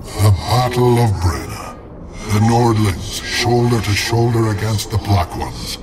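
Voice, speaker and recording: An actor playing the stern, proud ghost of an army standard bearer in a video game, speaking in a formal, archaic, declamatory way. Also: male voice, lowish voice, expressive, measured pace, heavy background noise. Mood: suspicious, proud. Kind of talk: dramatic video game acting. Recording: game music under the voice.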